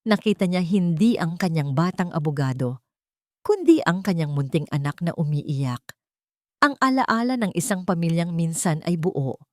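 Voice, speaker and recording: Lower-pitched woman narrating a dramatic story. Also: expressive, moderate pace, darkish tone, no echo, clean studio sound. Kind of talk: dramatic first-person scripted storytelling.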